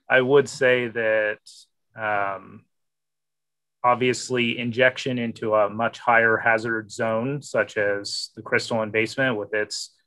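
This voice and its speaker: Thirties male panelist, flat delivery, bright edge, webcam call. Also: mid-range voice, slow pace, smooth, rough recording. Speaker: regulatory manager panelist. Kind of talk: panel discussion.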